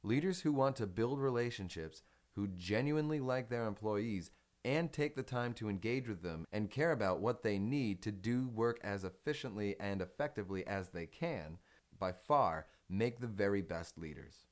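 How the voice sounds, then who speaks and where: A man reading a business article aloud as scripted narration, in a measured, instructional manner. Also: on the low side, animated.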